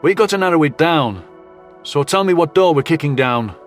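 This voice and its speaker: A man playing a gruff, colloquial human commanding officer in a sci-fi audio drama. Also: on the high side, expressive, normal speed, faint music behind, close to an everyday voice. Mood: impatient. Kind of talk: audio drama character acting.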